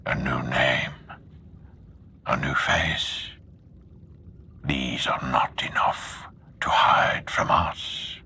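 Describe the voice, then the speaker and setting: An actor playing a grim, imposing, defiant Sith lord with a commanding, accusatory, theatrical delivery in a video game. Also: male voice, a bass voice, steady tone, very slow, vocal fry, pauses often, bright, forceful tone, in a whisper, full character voice. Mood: accusing, grave. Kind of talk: dramatic video game voice acting.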